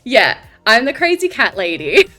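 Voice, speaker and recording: A woman's voice: fairly high pitch, sing-song, moderate pace, sharp tone, faint music behind. Woman, Australian accent, giggly, self-deprecating, casual swearing, podcast co-host. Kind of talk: casual interview chat.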